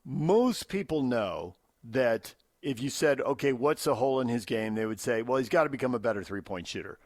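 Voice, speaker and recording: An American man hosting unscripted sports talk on radio, explaining his points in a measured way. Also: mid-range voice, animated, no echo, studio quality.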